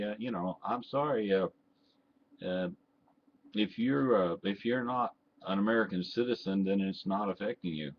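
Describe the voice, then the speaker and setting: An older man with a Texan accent, talking bluntly and indignantly straight to camera, full of "uh"s and restarts. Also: low-pitched. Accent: Texan, mixed with Southern. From Texas. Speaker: vlogger and ham radio operator. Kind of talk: opinionated rant to camera. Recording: dull lo-fi home recording with faint noise.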